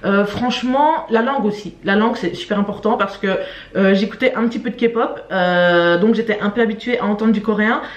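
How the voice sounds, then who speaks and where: A woman's voice: medium pitch, a little fast, dull audio. A young adult woman chatting casually and expressively to camera in an informal vlog, often stressing her words. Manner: informal, expressive, emphatic repetition. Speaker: YouTube vlogger presenting to camera. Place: small room at home. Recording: roomy indoor echo.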